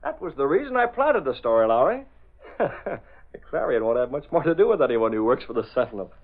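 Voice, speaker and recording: An actor playing a masked vigilante in an old radio drama, speaking in a clipped, commanding, deliberate way. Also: male voice, medium pitch, sing-song. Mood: genial, assured. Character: masked male vigilante posing as menacing avenger, doubling as publisher. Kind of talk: 1930s-40s radio drama acting. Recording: tinny, band-limited old radio recording.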